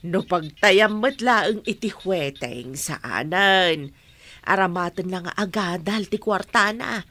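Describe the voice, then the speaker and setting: A woman playing a gentle, caring mother of a grown son in a radio drama. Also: mid-range voice, animated, slow, dry recording, full character voice. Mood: defensive.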